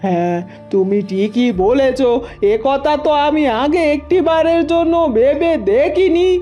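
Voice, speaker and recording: A woman acts as an older cartoon mother-in-law with a high, soft-edged mellow voice. She is proud and emotional, speaking emphatically and plaintively. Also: a little slow, soft background music. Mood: remorseful. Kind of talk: Bengali moral-tale cartoon dubbing.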